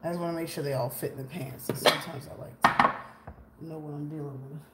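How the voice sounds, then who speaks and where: Chatty home-cook host, 40s woman, slightly low voice, roomy kitchen sound on a tripod camera. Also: fairly even intonation, fast talker. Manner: chatty, greets viewers by name while cooking. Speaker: cooking livestream host. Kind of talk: casual live cooking chat with viewers. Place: large home kitchen, indoors. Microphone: camera on a tripod, moving around it. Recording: echoey kitchen with faint room noise.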